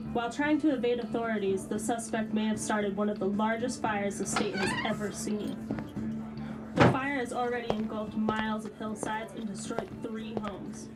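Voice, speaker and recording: An actress in a radio drama playing a composed news reporter with a formal, measured, scripted delivery. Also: female voice, on the high side, natural voice, poor audio.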